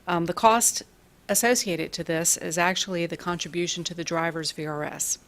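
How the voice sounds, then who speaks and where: A woman's voice: fairly low pitch, steady tone, moderate pace, a bit bright. A woman finance officer presenting budget figures at a school board meeting in a businesslike way, correcting herself as she goes.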